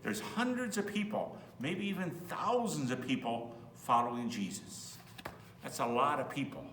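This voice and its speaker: Roomy, distant, lively male storyteller. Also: a little high. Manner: expressive, explains in simple words as to children. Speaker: priest reading a children's Bible story. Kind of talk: storybook read-aloud with asides to children. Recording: roomy, distant mic, faint hum.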